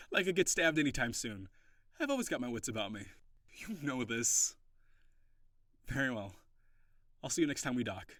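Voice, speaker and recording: A man's voice: mid-range voice, sing-song, moderate pace, pauses often, sharp tone, no echo, clean studio sound. A man acting a young runaway nobleman turned pirate in an audio roleplay, playful and fond, with teasing, easily flustered protests. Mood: conceding, fond. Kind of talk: intimate audio roleplay acting, one side of a dialogue.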